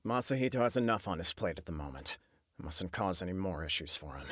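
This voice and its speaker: Low-voiced, burdened young clan head. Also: male voice, lively intonation, fast talker, fairly bright tone, faint music behind. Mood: burdened, resolute. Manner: formal, grave. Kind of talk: video game voice acting.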